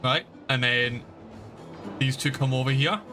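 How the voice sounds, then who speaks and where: A man's voice: mid-range voice, a little slow. A man doing casual live gameplay commentary as a streamer, rambling and informal, with some swearing. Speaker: game streamer. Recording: stream with game music behind. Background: video game music.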